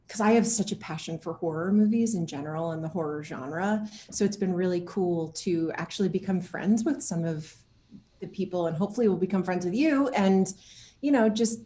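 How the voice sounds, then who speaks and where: A woman chatting casually as an interview guest, talking in an effusive, animated storytelling way. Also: lowish voice, slight background noise. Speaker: interview guest, game voice and motion capture actor.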